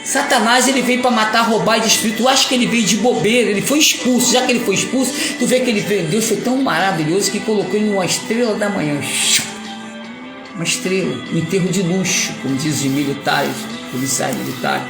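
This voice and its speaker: A male preacher with a Carioca accent delivers a rambling, emphatic sermon full of playful asides. His voice has a gravelly edge. It rings through an echoey room with music playing underneath. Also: sits high, quick pace. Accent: Carioca Portuguese. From Rio de Janeiro.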